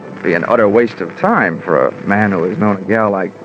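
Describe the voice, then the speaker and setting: An actor playing a wry, hard-boiled American insurance investigator, narrating dryly and colloquially in 1940s radio detective style. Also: male voice, lowish voice, brisk, lightly acted. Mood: dry, droll. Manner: dry, sardonic, colloquial. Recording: muffled old radio recording with music.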